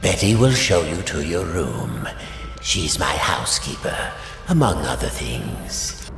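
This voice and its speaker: An actor playing a smooth-talking, menacing tyrant of a dark world in a video game, theatrical and falsely courteous. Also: male voice, extremely low pitch, big pitch swings, slow, echoey room, long reverb tail, full character voice. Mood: suave, condescending. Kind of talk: video game villain monologue.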